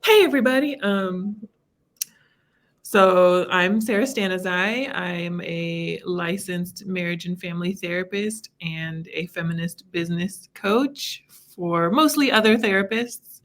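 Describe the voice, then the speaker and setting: A woman's voice: on the low side, a little slow. A woman from Los Angeles, a therapist guesting on a podcast, chatting casually in a bubbly, self-mocking way. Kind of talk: casual chat.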